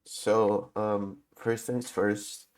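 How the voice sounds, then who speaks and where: Guy with a cold explaining a game, english not his first language, low mellow voice, lots of uhs. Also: flat delivery, low-quality recording. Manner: halting, many filler uhs. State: has a cold. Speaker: gaming video host. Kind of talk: casual game tutorial.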